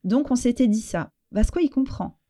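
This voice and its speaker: A woman's voice: fairly high pitch, animated, moderate pace, dark tone. A middle-aged woman from France, a guest in a personal interview, speaking reflectively with frequent fillers and restarts.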